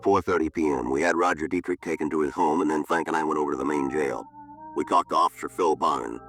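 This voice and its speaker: An actor playing a polite but firm police detective in a 1950s radio drama, clipped, deadpan and matter-of-fact. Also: male voice, very deep voice, quick pace, faint music behind.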